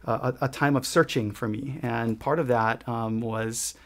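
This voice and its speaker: A man's voice: medium pitch, fairly even intonation, moderate pace. A middle-aged man from Hawaii, answering interview questions in an earnest way, with many restarts and fillers. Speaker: guest, ukulele shop owner. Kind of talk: conversational interview.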